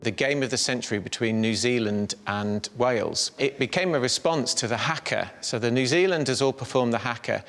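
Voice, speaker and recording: A man's voice: mid-range voice, fairly quick, few pauses, a bit bright, in a large hall, soft background music. A man acting as a museum guide, explaining history informatively with some hesitant fillers, indoors in a museum. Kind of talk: explaining to a presenter.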